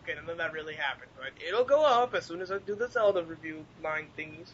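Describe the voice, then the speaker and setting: A man's voice: on the high side, animated, fast talker, fairly bright tone. A man co-hosting a podcast in casual, crude, profane chat with friends. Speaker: podcast co-host. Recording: muffled lo-fi audio, background noise.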